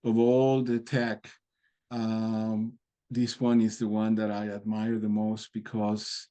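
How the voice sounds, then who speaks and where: Mellow man. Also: fairly low pitch, completely flat delivery, slow pace. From Colombia. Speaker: participant in a group video meeting. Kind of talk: casual chat. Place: video call.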